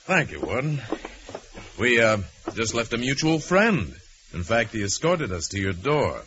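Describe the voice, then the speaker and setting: An actor playing a wealthy, courteous young American crime-fighter in 1940s radio style, on a muffled old recording. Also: male voice, medium pitch, very animated, measured pace, background noise. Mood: composed.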